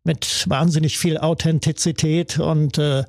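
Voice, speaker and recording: A man's voice: fairly high pitch, flat delivery, unhurried, a little mellow, no echo, studio quality. A man discussing music in a hesitant, thoughtful way, with many fillers. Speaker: music commentator. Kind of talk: conversational discussion.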